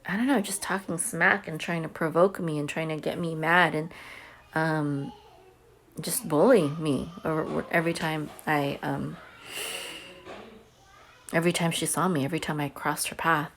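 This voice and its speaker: A woman's voice: sits low, steady tone, moderate pace, long pauses, a little hiss or hum. A homeschooling mother telling a personal story to camera in a chatty, earnest way. She says she has a big voice.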